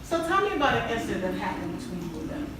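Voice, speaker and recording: A woman acting a polite, professional counselor in a stage play, formal and measured. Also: mid-range voice, sing-song, lightly acted. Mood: courteous. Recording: echoey hall from far off, clear noise.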